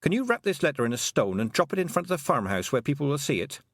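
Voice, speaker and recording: A man's voice: on the high side, sing-song, fast talker, dry recording, clean studio sound, voice pushed up. A man voicing a practical young girl who speaks carefully and a little hesitantly.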